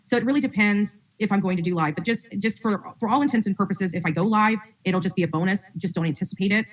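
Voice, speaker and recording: A woman's voice: mid-range voice, fast. A woman hosting a true-crime livestream, giving casual, rambling updates to her audience through a distant mic.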